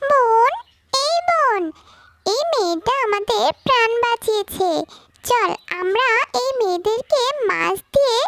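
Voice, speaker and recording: A woman's voice: very high-pitched, lively intonation, a little slow, fairly bright tone, dry recording, heavily acted. A woman voicing a kindly, grateful talking magical fish in a cartoon. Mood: kindly, earnest. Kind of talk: cartoon character dialogue.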